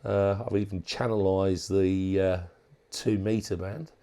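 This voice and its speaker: A man giving a casual, unscripted walkthrough as a hobbyist presenter, thinking aloud in a halting way. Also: deep voice, little pitch movement, mellow, a little hiss or hum. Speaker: hobbyist presenter demonstrating a modified radio.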